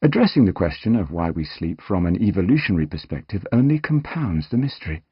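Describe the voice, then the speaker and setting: A man reading scripted nonfiction as an audiobook narrator, measured and articulate, with dramatic emphasis. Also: low-pitched, very animated, husky, no room sound, lo-fi sound.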